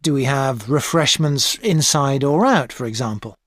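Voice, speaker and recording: Calm tutor lady giving advice, measured, dry studio sound. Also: extremely low pitch, dry recording. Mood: advising. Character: experienced calm female tutor. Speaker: tutor advising a student. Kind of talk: scripted exam dialogue.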